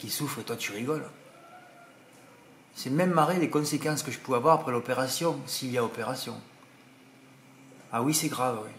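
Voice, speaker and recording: A man with a regional French accent talks at a middle pitch, rambling and indignant as he gives his racing tips. He keeps tossing in little tag words. A faint hiss sits under the recording. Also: vocal fry, a bit bright. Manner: indignant, rambling, full of "hein" and "voilà". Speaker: horse racing tipster. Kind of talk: casual monologue to subscribers.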